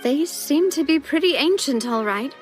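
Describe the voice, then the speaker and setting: A woman's voice: sits high, expressive, moderate pace, loud music behind the voice. A woman playing an earnest, dutiful young noblewoman in a video game. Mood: cautious.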